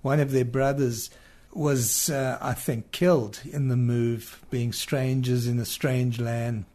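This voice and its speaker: A man's voice: mid-range voice, fairly even intonation, takes it slowly, darkish tone. South African man recalling things in interview. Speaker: musician interviewee. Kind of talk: reflective interview recollection.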